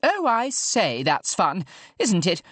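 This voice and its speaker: A man's voice: huge pitch swings, slow, fairly bright tone, dry recording, full character voice. A breezy posh English dowager swooping grandly through a storybook speech at a country-house party, acted out by a male narrator. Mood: jolly, ceremonious. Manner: plummy, booming, blithely theatrical. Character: jolly posh loud older woman, brazen and breezy. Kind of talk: audiobook character dialogue.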